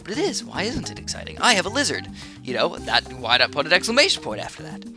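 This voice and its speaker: A man's voice: on the high side, huge pitch swings, fast. A man giving casual, rambling gameplay commentary, chatty and full of fillers and restarts. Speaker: gaming channel host. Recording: game music playing under the voice.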